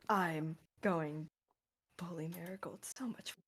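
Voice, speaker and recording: A woman at a tabletop game, unhurried and prone to giggling. Her voice is lowish and she talks slowly. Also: fairly bright tone, slight background noise. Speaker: player. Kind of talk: casual tabletop game play.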